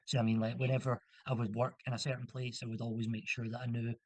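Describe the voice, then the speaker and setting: A man's voice: mid-range voice, little pitch movement, fast talker, darkish tone, dry recording. A young man from Scotland, a guest in a casual interview, talking in a rambling way with many restarts and fillers.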